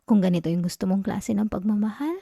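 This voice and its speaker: A woman playing a teasing, possessive young yandere girlfriend in an intimate ASMR roleplay, soft and breathy with a Filipino accent, coaxing and often slipping into whispers. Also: mid-range voice, no room sound, studio quality. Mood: soothing, possessive. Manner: slow, coaxing, giggly teasing. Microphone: close, dry ASMR microphone.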